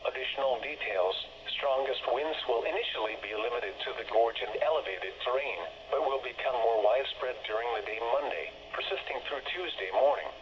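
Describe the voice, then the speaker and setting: A synthetic male voice reads weather bulletins as a radio announcer. The pitch is mid-range and the delivery is formal and broken into segments. It comes through dull, band-limited radio reception with a faint layer of noise. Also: fairly quick. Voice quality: synthetic text-to-speech voice. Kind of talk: scripted weather bulletin reading.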